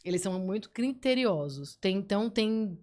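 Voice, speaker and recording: A woman guest on a podcast, telling work stories in a casual, lively way with frequent restarts. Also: mid-range voice, normal speed. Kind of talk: casual storytelling.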